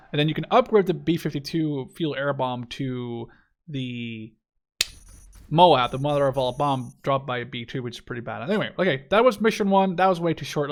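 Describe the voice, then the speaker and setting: A man in his twenties from the Netherlands giving casual, rambling, enthusiastic commentary on a video game. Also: on the high side. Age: 20s. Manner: enthusiastic, informal, swearing. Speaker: gaming video host.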